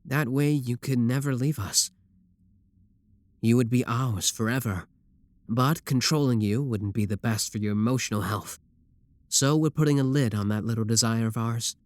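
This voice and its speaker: A man's voice: mid-range voice, normal speed, dry recording, close to an everyday voice. Male actor as yandere sibling kidnapper, ASMR roleplay. Mid-range, very dry sound, reassuring, adoring, casually possessive. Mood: teasing, possessive. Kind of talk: scripted ASMR roleplay addressed to the listener.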